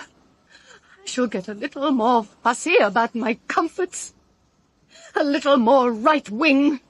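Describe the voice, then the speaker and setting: A woman's voice: sits high, no echo. An actress with a British accent playing a bitter, lonely, jilted woman in an audio drama. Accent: British English. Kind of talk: full-cast audio drama acting.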